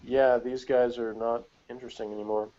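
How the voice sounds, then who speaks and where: A man's voice: medium pitch, little pitch movement, a little fast, dark tone. An American man chatting casually as co-host of a TV review, in a wry, joking manner. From United States. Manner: wry, joking, often chuckling. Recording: dull, lo-fi audio with clear noise.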